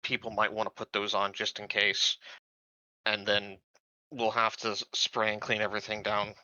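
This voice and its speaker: A man playing a tabletop game, talking through his moves in a casual, halting, deliberate way. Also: fairly low pitch, completely flat delivery, pushed and bright, no echo, lo-fi sound. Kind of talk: casual in-game planning talk.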